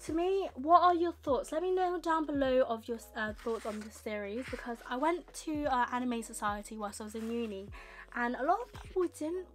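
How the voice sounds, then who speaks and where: A young woman with a slightly husky voice from a tickly throat, rambling casually through a manga haul in her bedroom. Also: high voice, fairly bright tone, soft background music. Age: young adult. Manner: rambling, chatty, quick asides. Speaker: manga vlogger. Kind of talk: casual chatty haul vlog. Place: bedroom with manga shelves, indoors.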